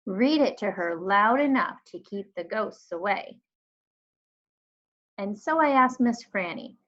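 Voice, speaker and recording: Slow-paced woman reading a storybook aloud. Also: mid-range voice. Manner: measured, acts out dialogue. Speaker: teacher or reader reading a children's novel aloud. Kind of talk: expressive storybook read-aloud. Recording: dull lo-fi recording.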